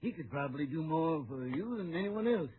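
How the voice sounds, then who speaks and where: A man's voice: a little high, animated, fairly quick, close to an everyday voice. An actor playing a genial, folksy older townsman in an old-time radio western. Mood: genial, earnest. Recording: noisy, tinny old radio recording.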